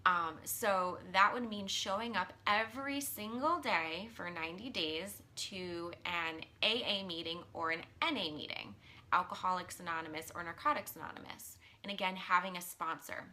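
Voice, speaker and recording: Bright-voiced woman coach, a bit hoarse. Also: lowish voice, moderate pace, a little hiss or hum. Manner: chatty, earnest, with frequent ums and likes. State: slightly hoarse, losing her voice. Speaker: mindset and business coach. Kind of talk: casual live-stream talk, reading viewer comments.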